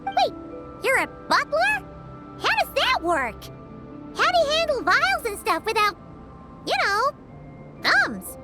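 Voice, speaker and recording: Squeaky, excitable little fairy companion. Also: female voice, slow pace, long pauses, over loud music, full character voice. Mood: cheeky. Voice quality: squeaky, childlike. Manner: talks about self in third person, exclamatory. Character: tiny floating fairy companion, childlike, cheeky and excitable. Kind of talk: video game voice acting.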